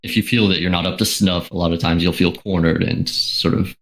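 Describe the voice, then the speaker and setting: A man on a podcast panel calmly explaining advice about working in animation. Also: deep voice. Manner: measured, explanatory, occasional "uh". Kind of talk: casual panel chat.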